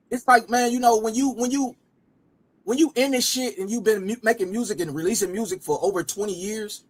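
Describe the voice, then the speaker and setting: A man in his late forties with a high-pitched voice. He talks in a loose, rambling way and keeps circling back to repeat himself. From Cincinnati area. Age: 40s. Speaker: rapper and host. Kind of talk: casual chat.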